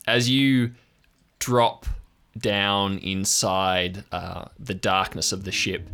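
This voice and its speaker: A man narrating an improvised audio drama as game master, in a halting, measured, descriptive way. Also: on the low side, a bit bright.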